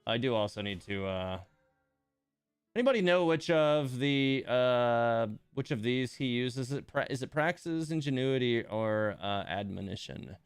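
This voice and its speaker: Male game streamer, clear smooth voice, casual and exasperated, muttering asides to chat. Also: medium pitch, lively intonation, a little slow, no room sound, faint music behind. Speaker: livestream host playing a gacha game. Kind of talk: casual livestream chat and commentary.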